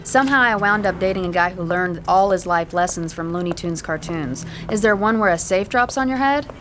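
A woman's voice: lowish voice, expressive, brisk, a bit bright, slight background noise. A woman playing a practical, skeptical young girlfriend in an audio drama, with a dry, sarcastic, exasperated delivery. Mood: wryly mocking. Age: young adult. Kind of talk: naturalistic audio drama dialogue.

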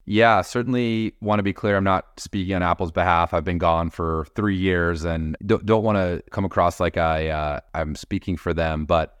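Deep, dry-sounding male exec guest, steady and businesslike, lots of "you know". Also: clear, resonant tone, no room sound. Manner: measured, businesslike, with frequent "you know" fillers. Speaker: podcast company executive, guest. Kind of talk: interview answers.

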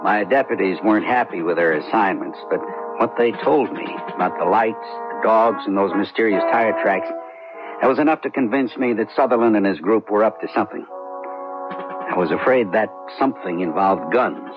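Male actor as steady sheriff narrator, lowish voice, dull broadcast with music. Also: close to an everyday voice. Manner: calm, measured, plainspoken. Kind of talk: 1970s radio drama narration. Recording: lo-fi old radio broadcast with music.

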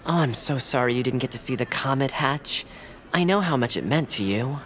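An actor playing a gentle, earnest, wholesome young best friend in a family audio drama. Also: male voice, mid-range voice, animated, a little fast, a little hiss or hum, close to an everyday voice. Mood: sympathetic. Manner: gentle, earnest, old-fashioned wholesome phrasing. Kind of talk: audio fiction character acting.

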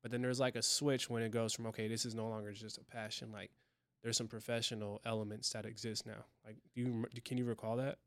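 A man's voice: on the low side, level tone, quick pace, fairly bright tone, no echo, studio quality. A man hosting a podcast, chatting casually, affirming his guest and asking reflective questions. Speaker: podcast host. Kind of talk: casual chat.

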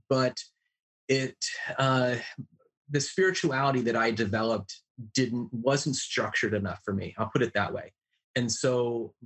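Low-voiced reflective man from Ohio. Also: fairly bright tone. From Ohio, USA. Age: 40s. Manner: reflective, measured, many fillers. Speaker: podcast guest. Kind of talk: personal storytelling.

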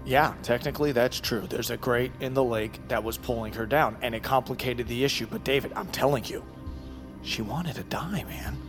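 A man's voice: medium pitch, moderate pace, music in the background, close to an everyday voice. An actor playing a troubled man in an audio drama, earnest and informal. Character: troubled, earnest man pressing his point.

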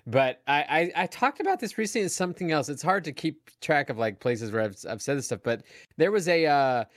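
A man's voice: a little high, lively intonation, a little fast, no room sound, clean studio sound. A man, a podcast guest, talking in a modest, self-deprecating way. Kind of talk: casual podcast chat.

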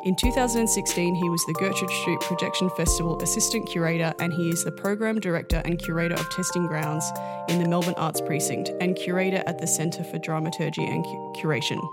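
A woman radio host in a studio, reading a formal guest introduction crisply and then opening the interview. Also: lowish voice, steady tone, fast, over loud music.

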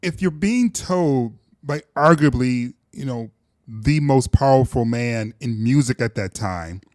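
A man hosts an interview, speaking in a playful, effusive way with sassy asides. His voice sits at a middle pitch, and the sound is dry and close, with no room echo. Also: expressive, unhurried, a little mellow. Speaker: podcast host. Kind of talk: conversational interview.

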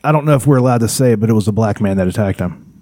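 A man's voice: lowish voice, very fast, mellow, dry recording. Guy hosting a podcast, chuckly and loose, dry sound. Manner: laughs often, chuckling through jokes. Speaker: podcast co-host. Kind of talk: casual podcast chat.

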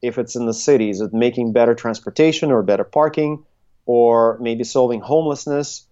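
A middle-aged man, speaking conversationally as an interview guest and haltingly thinking his way through his answers. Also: mid-range voice, warm tone, lo-fi sound. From Russia, Soviet Union. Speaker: interview guest, tech consultancy CEO. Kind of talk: conversational interview, recounting career.

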